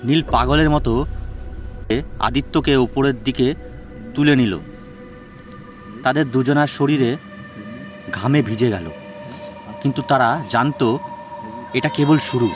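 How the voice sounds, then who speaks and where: A man's voice: medium pitch, slow pace, pauses often. Man narrating suspense over music. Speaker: storyteller. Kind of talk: dramatic scripted horror story narration. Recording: music bed behind the voice.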